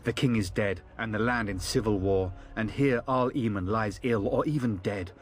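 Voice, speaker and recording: An actor playing a gloomy soldier in a fantasy video game, speaking in a grave, foreboding manner. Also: male voice, on the low side, moderate pace, natural voice. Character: gloomy male villager soldier foretelling doom.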